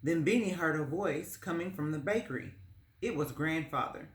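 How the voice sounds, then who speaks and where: A woman reading a children's story aloud as narrator, in a measured, expressive storytelling manner. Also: low voice. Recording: roomy, echoing space.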